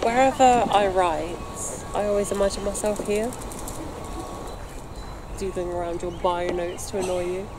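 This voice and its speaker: An actress playing a friendly, self-doubting young singer-songwriter in casual, reflective stage dialogue. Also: female voice, medium pitch, normal speed, long pauses, lightly acted. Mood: playful, indignant. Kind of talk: acted naturalistic stage dialogue, conversational. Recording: noisy open-air location recording.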